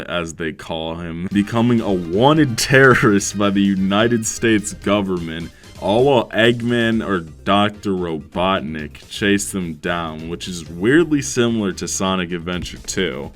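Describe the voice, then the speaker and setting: A man giving a casual, comedic movie review in a slangy, sweary way with mock-outraged exaggeration. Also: fairly low pitch. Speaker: video reviewer. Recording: music behind the voice. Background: background music.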